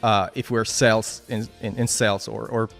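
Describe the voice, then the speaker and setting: A man's voice: lowish voice, little pitch movement, moderate pace, gravelly, a bit bright, faint music behind. A man with a Spanish accent giving a casual motivational talk at a team meeting, with frequent restarts and "right?" tags.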